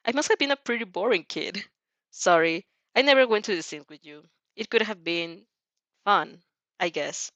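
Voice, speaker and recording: Woman acting a gentle, apologetic heroine, lively pitch. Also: moderate pace, dry recording. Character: gentle, apologetic heroine, shaky and self-doubting. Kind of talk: acted story dialogue.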